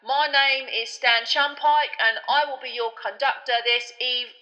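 A woman voicing a loud, brash teenage bus conductor. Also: high voice, animated, brisk, long reverb tail, muffled recording, voice pushed up. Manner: loud, slangy, cheeky.

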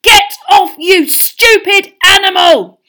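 Lady doing a grumpy hot-headed teen boy in a storybook, loud n indignant, pitched up. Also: very animated, normal speed, exaggerated voice. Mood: furious yelling. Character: irritable teenage schoolboy, hot-tempered and indignant. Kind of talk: audiobook character voice.

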